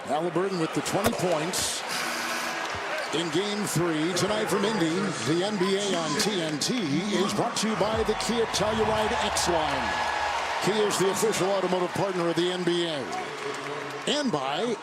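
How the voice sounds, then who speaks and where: High-pitched male basketball play-by-play announcer. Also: normal speed. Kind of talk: live TV basketball commentary. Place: basketball arena. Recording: loud arena crowd and court noise. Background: roaring crowd, bouncing ball.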